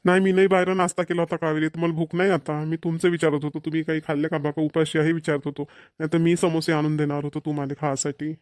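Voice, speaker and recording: A man's voice: a little high, level tone, fast, a little mellow, no echo, studio quality, natural voice. A man playing a broke village father, scolding yet coaxing, in a cartoon comedy with a Vidarbha Marathi accent. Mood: agreeable.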